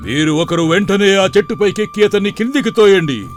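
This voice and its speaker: A man's voice: high voice, a little fast, faint music behind, natural voice. A man voicing a proud, commanding warrior king in a storybook cartoon.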